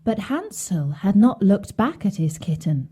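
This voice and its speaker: A woman's voice: mid-range voice, sing-song, unhurried, dark tone, breathy edge. A woman narrating a scripted bedtime fairy tale as a storyteller for children.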